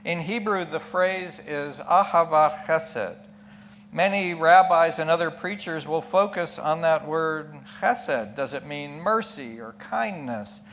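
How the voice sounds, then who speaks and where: A man delivers a reflective sermon in a fairly high voice, taking his time and leaning on key words. He poses questions and answers them with short asides. A noticeable hum runs behind him. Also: slow pace, a bit bright. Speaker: lay preacher giving a sermon. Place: church pulpit. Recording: clear background hum and noise.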